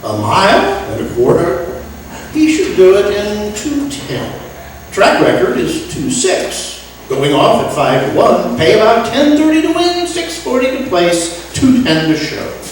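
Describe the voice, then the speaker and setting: A woman playing an excitable, penniless music maestro with a gambling habit, theatrical and wisecracking in an old-time radio sitcom. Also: medium pitch, a little slow. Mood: blustering. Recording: echoey, distant stage recording, faint noise.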